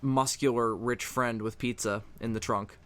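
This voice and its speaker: A man's voice: medium pitch, fairly even intonation, a little slow, fairly bright tone. A man hosting a casual fan commentary on a cartoon, explaining things in a matter-of-fact way. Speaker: commentary host.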